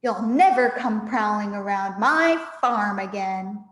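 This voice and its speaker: A woman voicing a furious, bullying fat male farmer from a storybook, shouting taunts. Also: mid-range voice, takes it slowly, close to an everyday voice. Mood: menacing. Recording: echoey room with long reverb.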